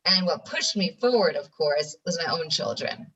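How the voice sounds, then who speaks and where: A woman's voice: low voice, animated, moderate pace, a bit bright, husky, distant mic, low-quality recording. A middle-aged American woman from New York, a podcast guest, telling her story earnestly with frequent restarts. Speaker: guest, ADHD educator. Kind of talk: interview on a podcast.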